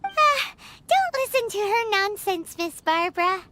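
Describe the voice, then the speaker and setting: A woman voicing a tiny, excitable fairy companion in a video game, with a squeaky childlike voice and a blunt, perky manner. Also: unhurried, a little hiss or hum, exaggerated voice. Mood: cheeky. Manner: speaks of self in third person, perky.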